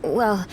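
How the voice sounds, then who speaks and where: A woman playing a proud, haughty but secretly soft young noblewoman knight in a video game, poised and formal. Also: mid-range voice, a little hiss or hum, poor audio. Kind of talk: video game character acting.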